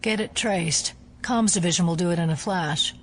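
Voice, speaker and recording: An actress playing a cool, methodical female FBI agent and scientist in an audio drama, measured and drily reproving. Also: on the low side, slight background noise, close to an everyday voice. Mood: dry, skeptical.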